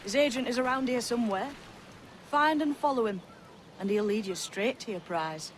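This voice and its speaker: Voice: medium pitch, moderate pace, background noise, lightly acted. A performer playing a sly, cool young pirate lad in a video game, dry and teasing. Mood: conspiratorial.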